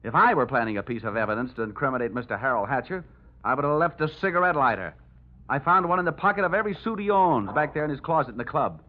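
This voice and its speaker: An actor playing a cocky, wisecracking American insurance investigator in a 1950s radio detective drama, wry and slangy. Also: male voice, medium pitch, animated, fast talker, lightly acted. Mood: tough, mocking. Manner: wry, hard-boiled, slangy. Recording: dull vintage radio recording with music.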